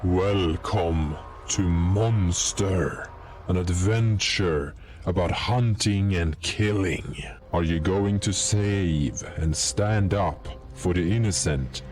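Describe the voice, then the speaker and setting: Deep, slow, ominous male storyteller. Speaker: storyteller and game master. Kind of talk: scripted dark fantasy narration.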